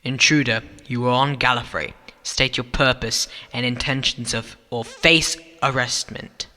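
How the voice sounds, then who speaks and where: A man's voice: mid-range voice, fairly even intonation, unhurried, pushed and bright, in a large hall. A man playing a stern, officious Time Lord coordinator in a sci-fi audio drama, with a pompous, formal, commanding manner.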